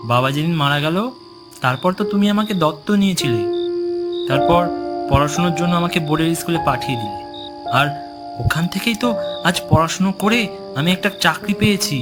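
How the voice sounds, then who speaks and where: A man plays a polite, self-assured young man in a horror cartoon tale. His voice is slightly high and very animated, with clear music underneath. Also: brisk, pauses often, natural voice. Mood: reassuring.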